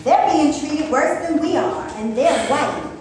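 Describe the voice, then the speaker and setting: A woman's voice: high-pitched, expressive, a little slow, a little mellow. A woman playing a skeptical, wry friend. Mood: doubtful. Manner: doubtful, wry. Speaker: character in a staged reading. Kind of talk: stage play reading. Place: theater before a live audience. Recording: distant voice in echoey room, faint noise.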